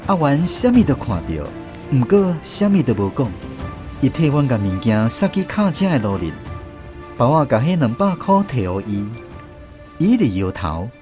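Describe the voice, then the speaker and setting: An actor playing a reflective former soldier, narrating a radio drama expressively with a Taiwanese accent. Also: male voice, medium pitch, moderate pace, music in the background, lightly acted. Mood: reflective storytelling. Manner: expressive storytelling.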